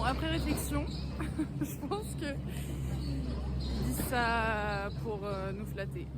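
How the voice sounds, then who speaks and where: A woman casually chatting to camera as a travel vlogger, with wry, self-deprecating humor, in a noisy handheld recording. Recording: noisy handheld travel vlog, ambient vehicle rumble.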